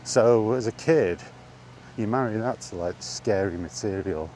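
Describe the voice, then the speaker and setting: A man chatting casually on his own, enthusiastic but halting, with frequent ums and restarts. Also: on the low side, warm tone, slight background noise. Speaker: vlogger. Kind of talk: casual rambling monologue. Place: outdoors, walking.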